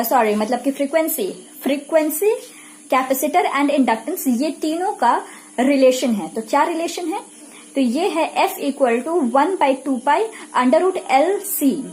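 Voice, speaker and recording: High-pitched Indian woman giving a lecture. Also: a little mellow, a little hiss or hum, rough recording. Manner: didactic, repetitive, asks and answers own questions. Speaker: physiotherapy lecturer. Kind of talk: explanatory lecture mixing Hindi and English.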